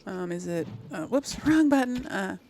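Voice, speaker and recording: Female gaming host: medium pitch, informal and chatty, thinks aloud, faint game ambience behind. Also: lively intonation, normal speed, darkish tone, a little hiss or hum. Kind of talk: casual let's play commentary.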